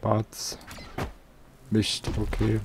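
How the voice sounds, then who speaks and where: A man's voice: fairly low pitch, completely flat delivery, very slow, background noise. A man hosting a casual let's play, chatting to his viewers. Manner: chatty, talks to viewers, asks for likes. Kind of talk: casual gameplay commentary.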